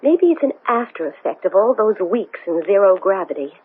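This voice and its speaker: A woman's voice: mid-range voice, very animated, breathy edge. Tinny old-radio restless woman astronaut. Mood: earnest, excited. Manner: agitated, halting, stammering speech. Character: famous woman astronaut, restless, anxious, strong-willed. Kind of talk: 1970s radio drama acting. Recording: noisy, thin, phone-like old radio broadcast.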